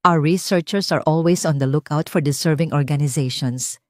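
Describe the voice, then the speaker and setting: A woman's voice: deep voice, normal speed, a little mellow, no echo, close to an everyday voice. A businesslike female foundation representative from an audiobook, acted by a woman narrator. She speaks formally, and the recording is very dry. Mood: formal, polite.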